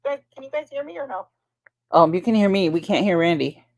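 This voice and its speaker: A woman's voice: medium pitch, very animated, a little fast, darkish tone, no room sound, studio quality. A woman co-hosting a livestream, talking in a sarcastic, profane, squeamish way. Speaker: livestream co-host. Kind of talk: casual livestream banter.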